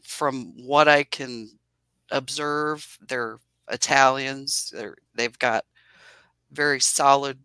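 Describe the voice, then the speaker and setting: Slow, soothing woman on a video call. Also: extremely low pitch, clear, resonant tone, dry recording. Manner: relaxed, laughs often. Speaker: beekeeper guest. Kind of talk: casual livestream chat. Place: home office.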